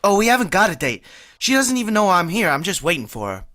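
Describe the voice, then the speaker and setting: A woman's voice: on the low side, very animated, fast talker, fairly bright tone. Lady doing a jittery lovesick young guy from old radio, talk bouncing all over. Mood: flustered. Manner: earnest, flustered, rambling. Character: awkward, lovestruck young man of 21. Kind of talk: 1940s radio acting.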